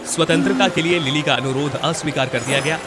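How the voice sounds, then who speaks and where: A man's voice: on the high side, fast. A man narrating a scripted fairy tale as a storyteller. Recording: loud music bed under the voice. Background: music.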